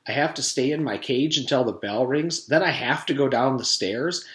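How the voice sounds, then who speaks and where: A man's voice: medium pitch, moderate pace, a bit bright, reverberant, pitched above the performer's own voice, close to an everyday voice. A man voicing an earnest schoolboy who longs to be free, plaintive and insistent, in a storybook reading. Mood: frustrated, plaintive.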